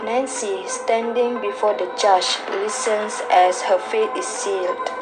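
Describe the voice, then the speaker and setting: A woman's voice: mid-range voice, steady tone, slow, loud music behind the voice, low-quality recording. A woman reading scripted radio drama narration in a dramatic storytelling manner. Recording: clear background noise.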